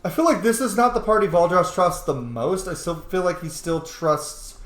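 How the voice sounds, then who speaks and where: A man giving casual, chatty let's play commentary as a gaming host, swearing freely and getting excitable. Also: sits high, moderate pace, echoey room.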